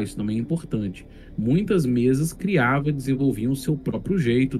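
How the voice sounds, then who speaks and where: A middle-aged man with a Brazilian accent explaining things in casual, articulate podcast talk, often checking for agreement. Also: medium pitch. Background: faint music.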